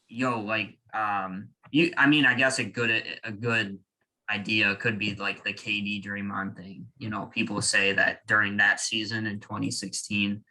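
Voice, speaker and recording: A young man co-hosting a casual sports podcast, talking in an animated, joking way with quick opinions. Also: fairly low pitch, poor audio. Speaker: podcast co-host.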